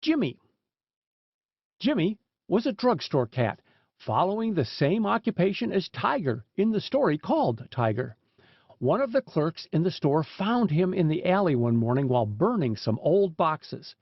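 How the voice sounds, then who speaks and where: Man, high voice, scripted audiobook storytelling, very lively intonation, dry but dull lo-fi recording. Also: normal speed, no echo. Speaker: audiobook narrator. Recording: dull, lo-fi sound.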